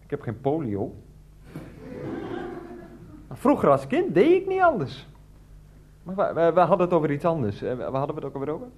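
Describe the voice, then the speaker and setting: A man's voice: on the high side, wildly swooping pitch, brisk, long pauses, a little hiss or hum. An actor playing a hesitant, evasive and theatrical family man in a radio drama, rambling as he talks. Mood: flustered, evasive. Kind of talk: Dutch radio drama dialogue.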